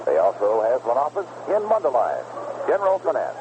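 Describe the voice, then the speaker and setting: A man's voice: medium pitch, huge pitch swings, a little fast. An American man reading a scripted radio advertisement in a salesmanlike manner. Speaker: commercial announcer. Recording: dull, noisy old radio recording.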